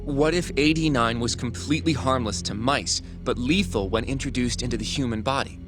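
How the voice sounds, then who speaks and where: A man's voice: mid-range voice, a little fast, natural voice. A man playing an earnest, analytical young investigator in an English-language video game, explaining things with an American accent. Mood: reasoning. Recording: music behind the voice.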